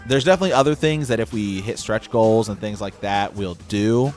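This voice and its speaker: Low-pitched man hosting a podcast. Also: a little fast, darkish tone, faint music behind. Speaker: podcast host. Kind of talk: casual podcast announcement with a co-host.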